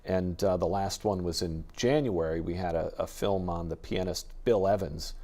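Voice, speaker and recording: A man with an American accent and a lowish voice. He speaks in a casual, conversational interview style, as a radio station guest explaining things. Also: normal speed, warm tone.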